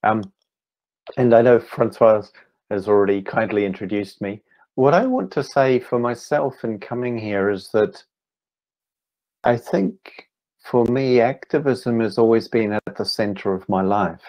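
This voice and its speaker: A man in his fifties with a New Zealand accent, giving an online talk as a meditation teacher, speaking slowly and deliberately with gentle pauses. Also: lowish voice. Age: 50s. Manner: slow, deliberate, gently pausing. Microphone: video call.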